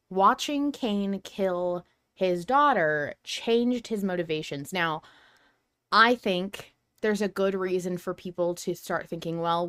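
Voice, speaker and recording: A woman's voice: on the low side, fairly bright tone, clear, resonant tone, dry recording, clean studio sound. A woman talking casually and enthusiastically as a podcast host, sharing her opinions in a fan discussion.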